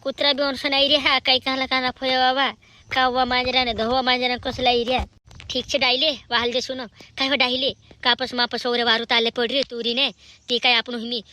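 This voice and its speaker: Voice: sits high, sharp tone. A performer voicing a chattering, scolding village family member in an amateur cartoon comedy.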